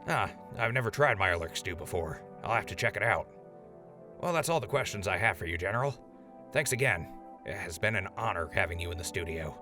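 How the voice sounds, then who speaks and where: A man's voice: low-pitched, lively intonation, fairly quick, over music. A man playing an earnest, cheeky wasteland radio host in an audio drama, conversational and deferential. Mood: friendly, cheeky. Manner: conversational, deferential, hesitant when flustered. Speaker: radio host interviewing a general.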